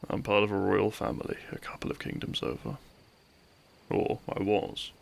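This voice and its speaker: A man playing a runaway royal turned outlaw, a weary, tender and gently pleading lover in a romantic audio roleplay, with soft, sighing delivery. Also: sits low, lively intonation, moderate pace, creaky, full character voice. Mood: weary, reluctant.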